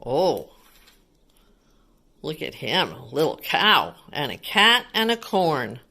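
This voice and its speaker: Slow, lively woman reading to children. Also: low-pitched, creaky. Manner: child-directed, asks simple questions and explains. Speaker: children's read-aloud teacher. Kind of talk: picture book read-aloud with explanations for young children.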